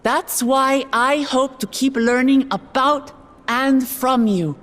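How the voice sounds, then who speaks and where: A woman voicing an earnest young princess and hopeful heir, giving a measured, sincere speech in a video game cutscene. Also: high-pitched, fairly bright tone. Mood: hopeful, resolute.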